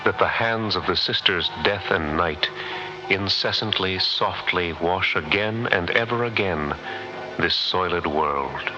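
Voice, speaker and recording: A solemn man intones verse in an old radio play. His voice is deep and drawn out, dull, under loud music. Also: measured pace. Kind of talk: 1960s radio drama recitation.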